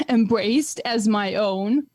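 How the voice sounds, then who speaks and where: Podcast guest: woman from Finland, late twenties, thoughtful and halting, mid-pitched, dry close sound. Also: a little mellow, no room sound. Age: 20s. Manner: reflective, many fillers and restarts. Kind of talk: casual podcast conversation.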